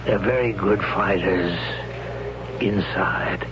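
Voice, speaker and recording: A solemn mentor to young warriors in a 1970s radio drama. The voice is lowish and very slow, deliberate and probing. The old broadcast sound is dulled. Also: male voice. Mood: grave. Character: grave male mentor of warriors, named Death. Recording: dull, noisy old radio recording.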